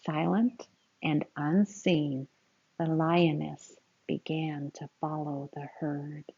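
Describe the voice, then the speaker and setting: A woman with a slightly low voice reads a children's picture book aloud at an unhurried pace. She is expressive and teacherly, addressing her young listeners directly. The recording is a little dull and lo-fi. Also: breathy edge. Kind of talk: read-aloud storytelling with asides to young listeners.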